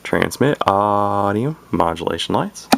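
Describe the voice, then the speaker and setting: A man's voice: on the low side, lively intonation, a little slow, vocal fry. A man giving a casual, rambling hobbyist demonstration, hesitating and repeating words as he goes. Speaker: radio collector presenting his collection. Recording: noisy amateur camera recording.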